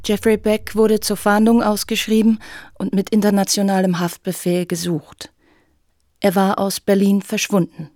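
Female narrator, factual scripted delivery, middle pitch, very even intonation. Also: moderate pace.

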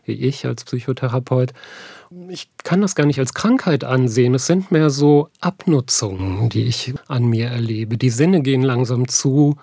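Mellow, husky man in his fifties. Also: medium pitch, no room sound, clean studio sound. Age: 50s. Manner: measured, thoughtful. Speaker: psychotherapist, interviewee. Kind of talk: calm personal reflection.

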